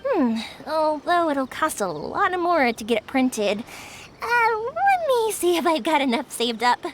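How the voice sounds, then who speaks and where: An actress playing an upbeat, helpful young woman who makes fireworks in a video game, with a chatty, expressive delivery. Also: high voice, measured pace, background noise. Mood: enthusiastic. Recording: music behind the voice.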